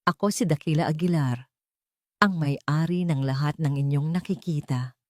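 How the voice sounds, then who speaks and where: Woman voicing a commanding older billionaire patriarch, deliberate. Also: sits low, no room sound, studio quality, close to an everyday voice. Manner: calm, weighty, deliberate. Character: authoritative older male billionaire, calm, cutting, commanding. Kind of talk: audio storybook drama.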